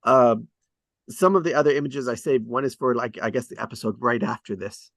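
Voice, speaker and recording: A man's voice: medium pitch, fairly quick, vocal fry, fairly bright tone, no room sound. Guy hosting a script read, rambling casually, midrange voice, really dry recording. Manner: hesitant, thinking aloud, conversational. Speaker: host of an online script table read. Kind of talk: casual rambling discussion of screenwriting.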